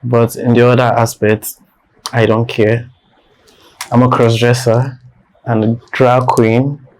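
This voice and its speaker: A man's voice: mid-range voice, flat delivery, slow, a little mellow, poor audio. A young man with a Nigerian accent, a guest in an interview, talking bluntly and defiantly and repeating his points for emphasis. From northern Nigeria. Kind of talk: candid personal interview.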